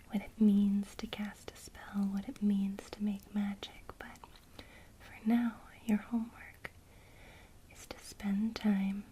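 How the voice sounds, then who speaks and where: A woman whispering a gentle witchcraft lesson in ASMR style, speaking directly to the listener. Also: medium pitch, slight background noise, poor audio. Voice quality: soft unvoiced whisper throughout. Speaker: ASMR creator teaching witchcraft.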